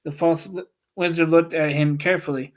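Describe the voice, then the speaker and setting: A podcast host reading a storybook aloud as narration, halting and stumbling over words. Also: medium pitch, steady tone.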